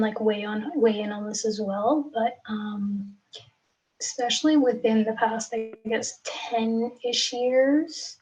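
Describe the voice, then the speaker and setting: A woman's voice: on the high side, a little mellow, dull audio. A young woman from Somalia, a guest on a casual podcast, talking hesitantly with many likes and ums. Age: young adult.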